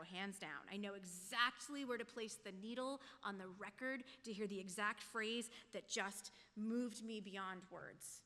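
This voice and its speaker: A woman's voice: medium pitch, sharp tone. A woman giving a reflective, prepared sermon as a lay speaker in church. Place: church sanctuary, speaking to congregation. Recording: echoey church hall.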